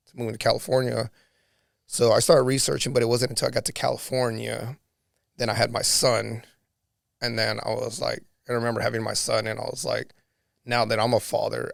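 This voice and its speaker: Raspy-voiced man telling his story. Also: medium pitch, no room sound, clean studio sound. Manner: earnest, reflective storytelling. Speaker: podcast guest. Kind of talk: casual storytelling conversation.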